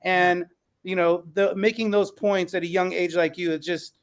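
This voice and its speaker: A man's voice: high-pitched, steady tone, normal speed. A man who hosts a podcast and teaches, moderating a panel with encouraging, affirming replies to his guests. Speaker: podcast host and school teacher.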